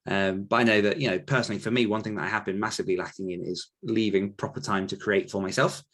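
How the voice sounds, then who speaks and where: A man's voice: lowish voice, steady tone, fast, a bit bright. A man talking casually as a podcast host, rambling a little as he thinks aloud while answering questions. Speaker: podcast host and author coach.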